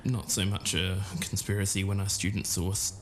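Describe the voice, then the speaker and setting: A man with an Australian accent talking casually in a hushed near-whisper as a podcast co-host. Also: low voice, little pitch movement, moderate pace. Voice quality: hushed, failed attempt at whispering. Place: quiet university library. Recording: echoey, faint background noise.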